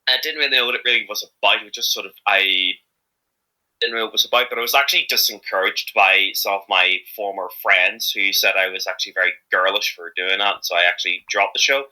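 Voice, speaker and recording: A man's voice: fairly low pitch, steady tone, quick pace, sharp tone, rough recording. A man chatting casually as an interviewed amateur voice actor, rambling and hesitant, often correcting himself as he goes.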